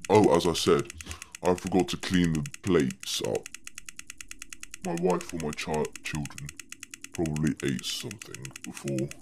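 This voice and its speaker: A man voicing an evasive male murder suspect in a horror game, giving slow, flat answers. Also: rumbling low, slight background noise.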